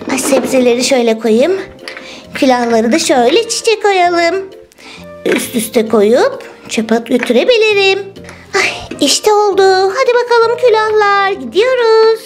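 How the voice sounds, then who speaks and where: A woman voicing an exasperated but forgiving little girl doll in a children's toy story, childlike and given to scolding and sighing. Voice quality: high, childlike.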